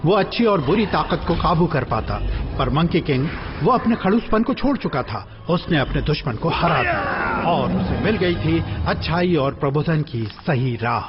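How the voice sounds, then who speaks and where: A man giving dramatic, theatrical storybook narration for an animated film. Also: fairly high pitch, moderate pace. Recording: dull lo-fi audio, music behind.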